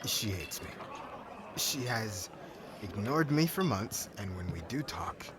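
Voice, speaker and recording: A man acting a slow, low-voiced lovelorn young warrior. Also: animated, over music. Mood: tipsy, bitter. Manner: halting, informal, exasperated delivery with sighs. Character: brash, lovelorn young warrior groom, drinking at his wedding. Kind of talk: ASMR roleplay audio drama acting.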